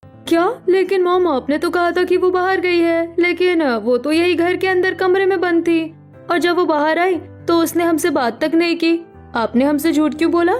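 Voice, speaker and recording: A woman's voice: very high-pitched, normal speed, soft background music. A woman voicing an outspoken, concerned young daughter-in-law in a cartoon family drama. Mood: shocked, accusing.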